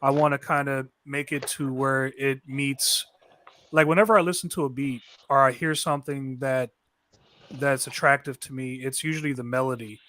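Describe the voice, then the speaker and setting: A man's voice: medium pitch, fairly even intonation, normal speed, pushed and bright, no echo. A man talking casually about making beats, rambling, with lots of restarts and fillers. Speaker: beat maker. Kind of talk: casual conversation.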